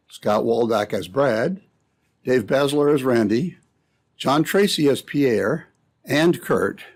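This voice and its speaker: A man narrating as an old-time radio announcer, with scripted, dramatic and emphatic delivery. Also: medium pitch.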